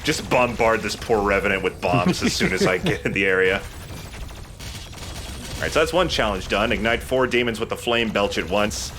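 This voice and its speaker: A man's voice: on the low side, lively intonation, normal speed, a bit bright, a little hiss or hum. An enthusiastic man giving casual let's play commentary as he plays a video game. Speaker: let's play host and player.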